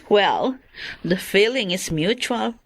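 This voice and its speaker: A woman's voice: medium pitch, expressive, very slow, exaggerated voice. A woman playing a bold, frank, flirtatious seductress in a Filipino radio drama. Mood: seductive.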